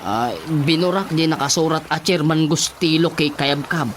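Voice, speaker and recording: A man with an Ilocano accent playing a vain, excitable, bumbling councilman who stammers and repeats himself in a radio comedy. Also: on the high side, moderate pace, a little hiss or hum, lightly acted. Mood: sheepish. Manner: stammering, flustered, repeating exclamations.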